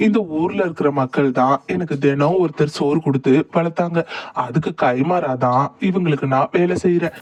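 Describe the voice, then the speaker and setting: A man's voice: a little high, moderate pace, natural voice. A man playing a good-hearted village farmer in a cartoon story, earnest and plain-spoken. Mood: irritated. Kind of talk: cartoon voice acting.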